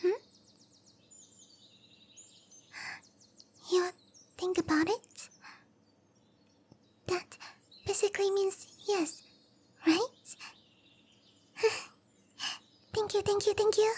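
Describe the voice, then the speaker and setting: Tiny shy cow monster girl, super high cutesy anime roleplay voice, slow n breathy, bashful but eager. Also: fairly even intonation. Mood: excited, grateful. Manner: bashful, hesitant, breathy murmurs between bright chatter. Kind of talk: roleplay ASMR, anime-style character acting.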